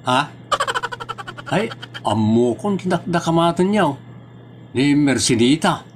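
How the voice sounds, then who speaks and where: A man's voice: fairly high pitch, lively intonation, takes it slowly, slight background noise. A man playing an irritable older villager in a comedy drama, grumbling and exclaiming in an Ilocano accent. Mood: complaining.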